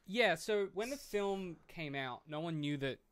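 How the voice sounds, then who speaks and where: A man with a fairly high voice, recorded close and dry. He co-hosts a film podcast, chatting casually and rambling with dry humour. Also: expressive, measured pace, a bit bright, clear, resonant tone, no room sound. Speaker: podcast co-host. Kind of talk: casual film discussion, sometimes reading a plot summary.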